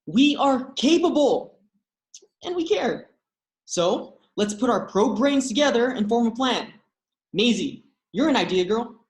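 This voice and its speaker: A man's voice: very high voice, very animated, unhurried, heavily acted. An upbeat, slightly awkward male team leader, heard in a roomy, echoing space. A man reads the part from a comedy script. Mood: rallying. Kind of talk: table read of a comedy script. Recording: roomy, echoey sound.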